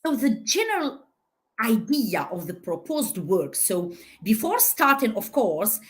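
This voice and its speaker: Lively, unhurried woman presenting research. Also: fairly high pitch. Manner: lively, with many "so" and "uh" fillers. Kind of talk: conference talk.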